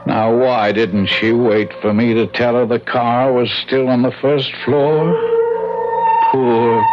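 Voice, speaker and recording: An actor playing a suave, mocking devil who poses as a genteel gentleman, speaking with theatrical mock politeness in an old-time radio drama. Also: medium pitch. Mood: gloating. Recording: dull vintage radio recording, faint music.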